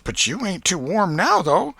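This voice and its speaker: A man acts out a fretful old Southern aunt from a storybook, pitched well up and swooping widely. She is folksy and exclamatory, a pious scold with a soft heart, and the sound is bright and forward. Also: very animated, a little slow, gravelly, breathy edge, no echo, exaggerated voice. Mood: sly questioning. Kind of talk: audiobook character voice.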